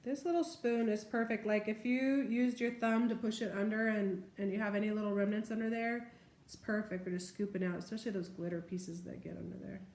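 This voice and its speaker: A woman's voice: medium pitch, fairly even intonation, fairly quick, a little mellow. Chatty woman in an echoey room. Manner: chatty, informal, narrates each step. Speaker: nail tutorial vlogger. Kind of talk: casual hands-on demonstration chat. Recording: echoey room with faint background noise.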